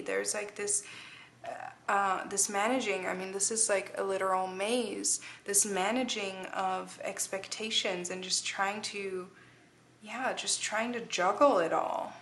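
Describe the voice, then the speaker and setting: A woman talks her viewers through a tarot reading in a loose, rambling way, often starting a phrase over before she finishes it. Her voice sits at an ordinary middle pitch, with a faint hiss under the recording. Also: fairly bright tone. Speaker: tarot reader. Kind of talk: casual tarot reading to camera.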